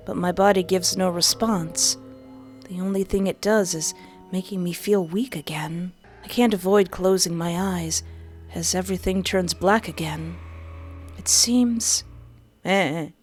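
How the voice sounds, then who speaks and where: A woman's voice: on the low side, unhurried, faint music behind. A young woman reading a visual novel aloud and breaking in with playful, joking asides. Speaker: game streamer.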